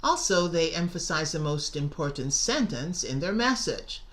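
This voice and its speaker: A woman's voice: low-pitched, very animated, normal speed. A middle-aged woman teacher narrating a scripted lesson, enunciating carefully and stressing key words. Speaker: English teacher and presenter.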